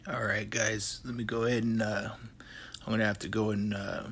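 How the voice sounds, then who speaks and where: A man in his forties talking casually to camera in a relaxed, rambling way with many fillers, his voice hoarse and going out. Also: lowish voice, normal speed. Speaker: vlogger. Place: indoor hobby room with shelves.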